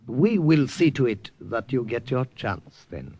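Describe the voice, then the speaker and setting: An actor playing a courteous, formal Siamese underground major in an old radio drama, speaking polite and precise English. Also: male voice, mid-range voice, sing-song, a little slow, darkish tone, breathy edge, lo-fi sound, exaggerated voice. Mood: gracious, composed. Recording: noisy old radio recording with clicks.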